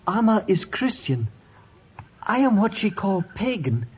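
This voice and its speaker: A man's voice: high-pitched, big pitch swings, unhurried, husky, exaggerated voice. An actor playing a troubled, lovesick young native herdsman in broken English, in 1950s radio drama style on an old dull recording. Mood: glum, earnest. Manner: speaks broken English, dropping small words.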